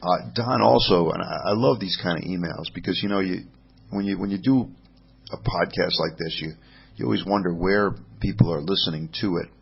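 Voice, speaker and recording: Sex: man. Role: podcast host. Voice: creaky, husky, low. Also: lo-fi sound. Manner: halting, restarts phrases often. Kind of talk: casual podcast monologue reading listener mail. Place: home in Rome.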